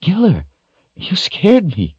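A man's voice: high voice, huge pitch swings, takes it slowly, a little mellow, breathy edge, dry recording, dull audio, voice pushed up, heavily acted. A man voicing a small, timid, kind-hearted servant girl in a storybook narration. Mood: startled.

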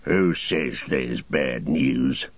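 A man's voice: low voice, takes it slowly, vocal fry, fairly bright tone, breathy edge, no room sound, muffled recording, full character voice. A man reading a story, voicing a big male orc soldier who bullies and threatens. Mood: menacing.

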